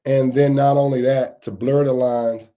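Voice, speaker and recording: A man hosting a casual live stream, his voice a bit raspy as he gets over a cold, greeting chat viewers by name and repeating points for emphasis. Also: mid-range voice, little pitch movement, measured pace, dark tone. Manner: repetitive, emphatic phrasing. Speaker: livestream host on security news.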